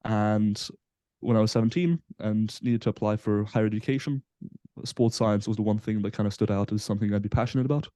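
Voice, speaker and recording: A man in his twenties from Belgium, a guest on a casual podcast interview, explaining in a relaxed way with frequent fillers. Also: fairly low pitch, brisk, no echo. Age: 20s. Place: Southampton, UK.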